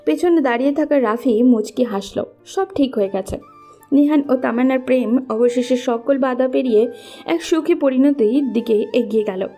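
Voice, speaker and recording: Woman, narrator, scripted love story, higher pitch, soft-edged tone, faint music behind. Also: moderate pace.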